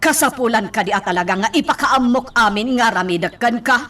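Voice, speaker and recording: A woman's voice: fairly high pitch, a little fast, fairly bright tone. A woman playing a hot-headed, defiant gambling wife in an Ilocano radio drama, snappy and argumentative. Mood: defiant, irritated.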